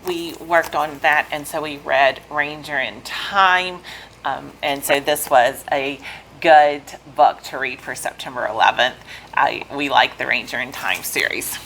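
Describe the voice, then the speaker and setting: Bright-voiced woman giving a casual update. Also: deep voice, fairly even intonation, a little slow, rough recording. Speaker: homeschooling mother, video host. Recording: noticeable background noise.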